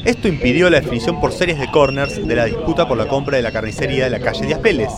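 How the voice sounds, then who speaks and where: Male narrator, Argentine, brisk, mock-solemn radio serial style, heavy crowd noise. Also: mid-range voice.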